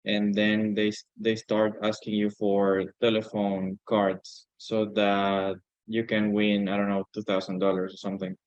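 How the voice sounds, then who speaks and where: A man's voice: fairly low pitch, completely flat delivery, unhurried, smooth, no echo. A man sharing in a casual online class discussion, heard through slightly dull call audio. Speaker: class participant.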